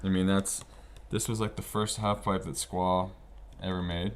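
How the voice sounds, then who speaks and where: Low-voiced man around fifty, slangy storyteller. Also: flat delivery, moderate pace, clear, resonant tone. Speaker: interview guest, snowboarding veteran. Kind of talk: casual storytelling while flipping through a book.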